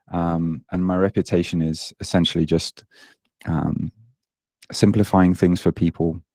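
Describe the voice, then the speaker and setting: A man's voice: sits low, mellow, dry recording. A British man in his late thirties, a fitness coach guest chatting casually on a podcast, self-deprecating with lots of ums and uhs. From Britain.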